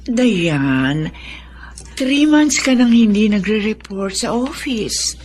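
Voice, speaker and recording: A woman's voice: mid-range voice, a little hiss or hum. An actress playing a middle-aged, domineering, falsely sweet Filipino stepmother in a radio drama. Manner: falsely sweet, condescending, self-important. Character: scheming, domineering stepmother taking over the family company.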